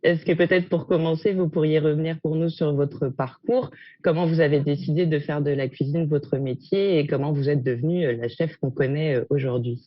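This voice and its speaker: A woman's voice: deep voice, normal speed, dry recording. A woman hosting an interview, politely and formally introducing and questioning a guest. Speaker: host, interviewer. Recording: muffled lo-fi audio.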